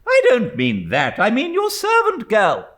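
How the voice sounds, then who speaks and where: A man's voice: high voice, huge pitch swings, unhurried, fairly bright tone, voice pushed up, heavily acted. A man voicing a stern, domineering elderly aunt in a storybook reading, with a commanding, clipped and indignant manner. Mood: imperious.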